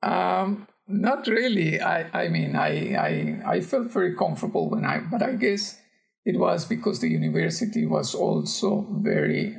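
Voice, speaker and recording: An older man with a Costa Rican accent answers interview questions conversationally, pausing and searching for words. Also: on the high side, gravelly. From Costa Rica. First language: Spanish. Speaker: geography professor, podcast guest.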